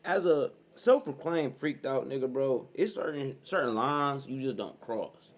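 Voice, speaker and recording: A man's voice: mid-range voice, animated, measured pace. A man in his early thirties giving blunt, profane reaction commentary as host, keeps saying "bro". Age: 30s.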